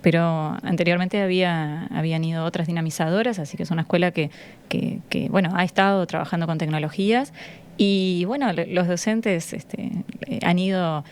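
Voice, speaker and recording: A woman with a Uruguayan accent speaks as a teacher being interviewed in a studio. Her voice is a little low, and she explains in a conversational, halting way, searching for words. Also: fairly even intonation, smooth. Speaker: schoolteacher, interview guest. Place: radio studio. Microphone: studio microphone.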